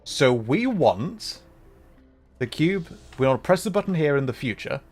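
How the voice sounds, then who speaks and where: A man's voice: a little high, sing-song, a little slow, darkish tone, soft background music. A man doing casual live gameplay commentary, thinking aloud and playfully repeating words as he goes. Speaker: VTuber game streamer.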